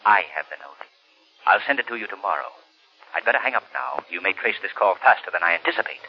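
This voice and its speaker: An actor playing a mysterious vigilante in a 1930s radio drama, measured and coolly self-assured, as if over a telephone. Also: male voice, lowish voice, animated, slight background noise. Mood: cool, taunting. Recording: muffled lo-fi 1930s radio recording.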